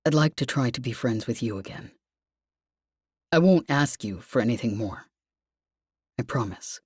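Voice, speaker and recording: Woman voicing a gentle, low, hesitant man. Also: expressive, husky, no room sound, clean studio sound, exaggerated voice. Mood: vulnerable, earnest. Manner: halting, soft-spoken, rueful.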